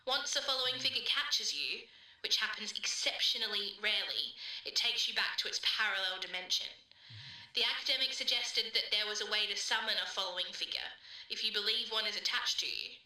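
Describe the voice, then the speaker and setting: A woman's voice: medium pitch, fairly quick, pushed and bright, far from the mic. A woman playing a student who gives a precise, academic account of an eerie theory, recorded in a roomy space away from the mic. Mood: matter-of-fact, eerie. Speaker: student interviewee.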